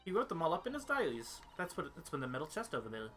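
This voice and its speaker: A precocious little girl acted out by an adult, chatty and cheerful in a fan-dubbed game. The voice is bright and forward, with an innocent, proud way of telling things, over background music. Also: mid-range voice, big pitch swings, fast. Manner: chatty, childlike, innocent phrasing. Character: precocious, cheerful young girl storyteller, proud of her father. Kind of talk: fan dub reading of game dialogue.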